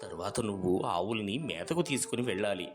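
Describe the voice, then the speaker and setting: A man's voice: lowish voice, animated, brisk, close to an everyday voice. A man voicing a kindly, earnest village cowherd and milk seller in a dramatized moral story. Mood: instructing. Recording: music behind the voice. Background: background music.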